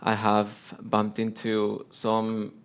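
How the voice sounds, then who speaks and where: A young man from Colombia with a Spanish accent, speaking low and fairly flat in a gravelly voice. He tells his story in a relaxed way, full of fillers, as an interview guest. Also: slow. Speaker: guest, teacher and violinist. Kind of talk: casual interview talk.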